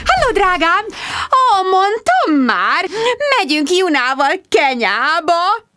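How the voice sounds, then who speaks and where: A woman's voice: extremely high pitch, big pitch swings, music in the background, pitched above the performer's own voice. A woman reading an audiobook, voicing a flighty, gushing middle-aged mother who keeps saying darling. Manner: gushing, calls everyone darling.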